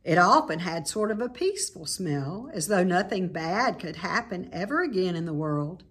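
An American woman in her fifties reading a children's book aloud in a measured, expressive way, in a small room at home. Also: on the low side, slightly rough.

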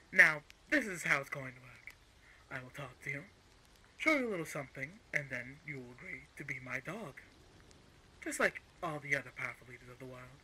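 Man playing a smug, bright-voiced menacing villain. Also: medium pitch, slight background noise, rough recording. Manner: mocking, condescending, deliberate. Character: smug, menacing male crime-organization villain, coolly coercive. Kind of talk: scripted audio roleplay villain acting.

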